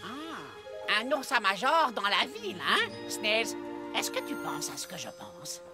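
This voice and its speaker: An actor voicing a naive, excitable young colt, a dim schemer with a hesitant, eager way of talking, in a dubbed cartoon. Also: medium pitch, unhurried, over music. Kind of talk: dubbed children's cartoon acting.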